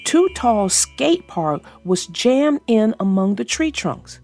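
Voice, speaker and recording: Female storybook narrator; unhurried, very animated, slight creak, soft music underneath. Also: a little high. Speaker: children's book narrator. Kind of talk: expressive storybook read-aloud.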